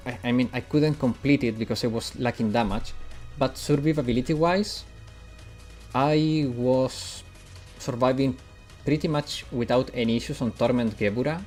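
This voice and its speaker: Gaming streamer, male, from Italy, mixed British/American English accent, medium pitch, chatty, faint game music underneath. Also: darkish tone. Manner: chatty, answers chat while playing. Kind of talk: casual live-stream chat.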